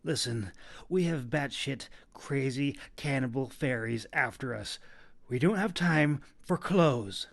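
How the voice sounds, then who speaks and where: A man's voice: mid-range voice, animated, slow, creaky, heavily acted. A man voicing an exasperated male adventurer in a fantasy audiobook, blurting out indignant exclamations. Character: angry, exasperated male fugitive adventurer, blustering and grumbling.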